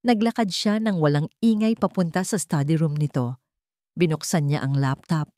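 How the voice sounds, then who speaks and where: A woman's voice: low-pitched, moderate pace, warm tone, dry recording, studio quality. A woman with a Filipino accent reading a scripted romance drama as a story narrator, delivering it as dramatic narration.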